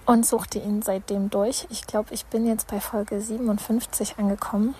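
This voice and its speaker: A woman's voice: mid-range voice, level tone, normal speed. A woman in her thirties telling a personal story in a voice message, hesitant, with many fillers. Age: 30s. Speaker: caller sending a voice message. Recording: loud background noise.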